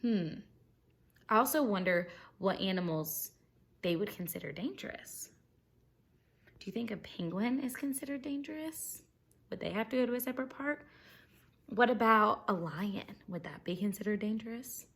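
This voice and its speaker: A woman's voice: medium pitch, expressive, normal speed, creaky, a bit bright. A woman teacher reading a children's picture book aloud expressively, explaining things simply and putting questions to her young listeners.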